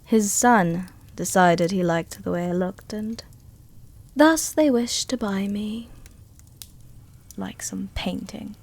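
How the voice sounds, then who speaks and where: Casting: female actor as seductive vampire noblewoman, ASMR roleplay. Very slow, intimate delivery, comforting, protective, teasing, softly dominant. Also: medium pitch, vocal fry. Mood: wistful, bitter. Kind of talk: intimate ASMR roleplay, scripted character acting.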